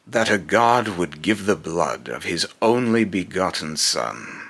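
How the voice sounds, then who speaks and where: A young man voicing a menacing, pompous god of fear and loss in a solemn villain monologue, with a gravelly voice. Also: sits low, expressive, a bit bright, exaggerated voice. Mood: bitter, scornful. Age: young adult. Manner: slow, pompous, solemn, repeats phrases in retakes. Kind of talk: scripted villain monologue, voice-acting takes. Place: small indoor room at home.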